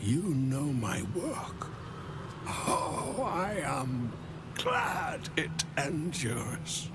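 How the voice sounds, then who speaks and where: A slow, creaky-voiced man of sorrow and dignity, a damned soul in a fantasy game. He speaks solemnly and haltingly, dropping toward a whisper, with prominent music behind him. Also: a little high, wildly swooping pitch, full character voice. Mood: sad, moved. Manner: solemn, halting, breathy drops into near-whisper. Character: sorrowful, weary damned architect, dignified male prisoner. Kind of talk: dramatic video game acting.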